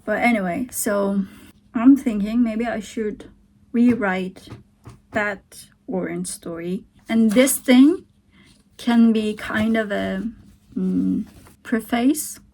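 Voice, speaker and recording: A young woman with a Turkish accent, a native Turkish speaker, hesitantly thinking aloud in a casual home vlog, sometimes dropping to a soft whisper. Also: mid-range voice, slow, rough recording. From Turkey. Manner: hesitant, musing, drifts into soft whispered asides. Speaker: vlogger. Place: at home, sitting on the floor beside a heater.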